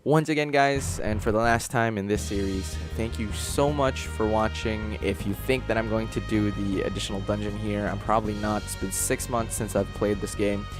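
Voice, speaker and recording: A man's voice: on the low side, fairly even intonation, moderate pace, hardly any pauses. A young man hosting a game playthrough, talking casually about the game in a rambling way, full of fillers and restarts. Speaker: let's play host. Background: game music.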